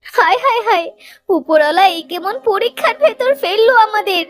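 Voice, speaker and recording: A woman's voice: extremely high pitch, lively intonation, fairly quick, no room sound, studio quality. A woman voicing a distraught, pleading village mother in a cartoon story, wailing and lamenting. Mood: wailing despair.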